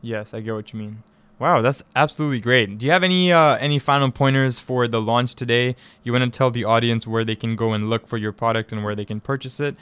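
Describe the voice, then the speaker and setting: A man from East Africa hosts a radio interview in a clear, smooth voice. He is conversational and effusive, doubling up words and phrases for emphasis. The sound is dry and close, as in a studio. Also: medium pitch, fast talker, a bit bright, dry recording. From East Africa (Tanzania and Kenya). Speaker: radio show host and interviewer.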